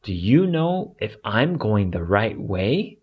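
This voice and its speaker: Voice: mid-range voice, big pitch swings, slow pace, slightly rough, lo-fi sound, heavily acted. A storybook narrator voicing a young, timid teddy bear hero with a polite, earnest manner.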